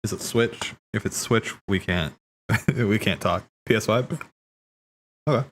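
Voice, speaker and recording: A man's voice: lowish voice, measured pace, slight background noise. A man chatting casually on a livestream as he watches game cutscenes, rambling and thinking aloud.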